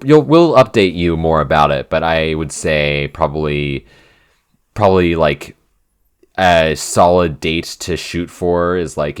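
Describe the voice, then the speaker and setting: A man's voice: very deep voice, clean tone. A man co-hosting a podcast, chatting in a casual, unscripted, rambling way with an enthusiastic manner. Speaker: podcast co-host and show creator.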